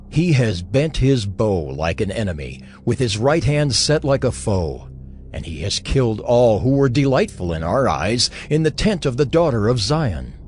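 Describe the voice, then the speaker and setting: A man playing a solemn, mournful narrator of dramatized scripture, letting his phrases fall away gravely. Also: on the low side, darkish tone. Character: solemn male narrator lamenting a ruined city.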